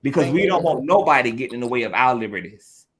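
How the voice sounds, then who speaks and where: A man's voice: medium pitch, lively intonation, quick pace, a loud crowd. A man hosting a podcast discussion, speaking with passion, often repeating words and swearing casually.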